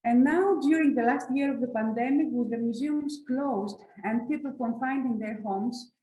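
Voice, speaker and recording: A woman from Greece with a Greek accent, speaking English as a museum director at an online conference, measured and hesitant with fillers. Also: sits high, warm tone, echoey room, poor audio. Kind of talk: prepared conference talk. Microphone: video call.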